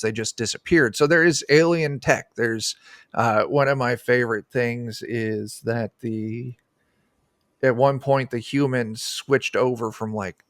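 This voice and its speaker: A man speaking as a game designer in a casual interview over a video call, pausing to search for words. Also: fairly low pitch, fairly bright tone, no echo, clean studio sound.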